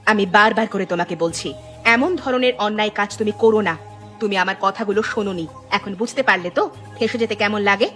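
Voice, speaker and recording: A woman's voice: mid-range voice, brisk, bright, forceful tone, soft background music, lightly acted. A woman playing an anxious, morally firm village wife in a cartoon, speaking in an earnest, insistent way. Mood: scolding. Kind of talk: cartoon dialogue acting.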